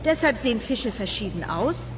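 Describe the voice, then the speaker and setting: A woman playing a brisk, patient aquarium keeper in a children's audio play, dry and instructive. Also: a little high, sing-song. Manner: dry, matter-of-fact, instructive. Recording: clear background ambience and noise.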